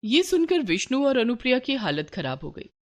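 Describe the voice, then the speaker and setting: A woman's voice: fairly high pitch, sing-song, brisk. A woman reading a scripted moral story for children as its narrator.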